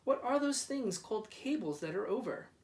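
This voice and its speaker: A man's voice: high voice, expressive, normal speed, darkish tone, pitched above the performer's own voice. A small, self-important lighthouse from a children's story, acted out by a man. It speaks emphatically in a lifted, childlike voice. The room rings around it, and the mic is a little way off. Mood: puzzled. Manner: emphatic, exclamatory short calls. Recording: roomy echo, distant microphone.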